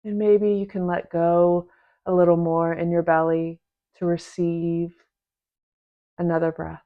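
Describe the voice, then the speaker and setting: Slow, low-pitched woman guiding meditation. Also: little pitch movement, narrow, tinny audio. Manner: gentle, unhurried, with long pauses between short phrases. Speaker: meditation teacher. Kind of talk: guided meditation, soft instruction.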